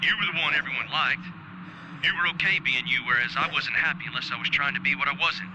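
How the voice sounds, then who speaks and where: A man's voice: medium pitch, fast talker. An actor playing a bitter, sardonic doomed male astronaut in a radio drama, through an intercom filter. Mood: bitter, confessing. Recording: very noisy spaceship radio link.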